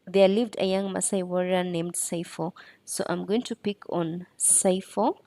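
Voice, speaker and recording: A woman's voice: on the low side, steady tone, unhurried, warm tone, no room sound. A woman presenting a step-by-step screen tutorial in an instructional way.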